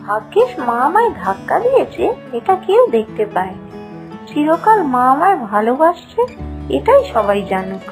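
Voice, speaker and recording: A woman voicing a meek, anxious young bride in an animated fairy tale, apologetic and plaintive. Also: high voice, normal speed, faint music behind. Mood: wistful.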